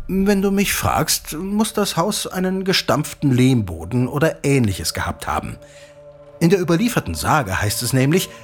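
An actor playing an eager father who recounts an old legend in an audio drama, animated, teasing and dramatic, like a keen storyteller. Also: on the high side. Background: music.

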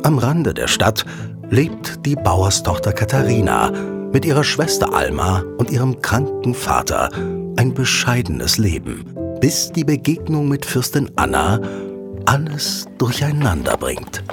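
A man narrating a scripted audio drama as a dramatic, expressive storyteller. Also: mid-range voice, measured pace. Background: background music.

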